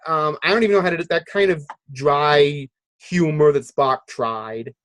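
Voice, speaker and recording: A man with a fairly high-pitched voice hosts a relaxed podcast conversation. He speaks haltingly, working out his thoughts aloud. The sound is very dry, with no room around it. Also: dry recording. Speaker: podcast host. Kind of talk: casual podcast discussion.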